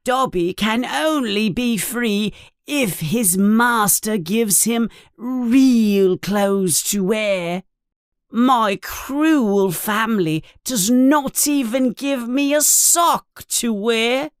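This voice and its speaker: A woman voicing a small, timid, tearful male house elf who talks in a squeaky, pleading, servile way. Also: extremely slow, clean tone, dry recording, studio quality.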